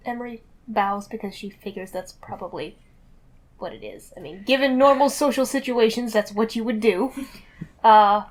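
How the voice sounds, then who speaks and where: A woman player casually narrating her character's moves in a tabletop game, thinking aloud haltingly. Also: on the high side. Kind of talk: casual improvised roleplay narration.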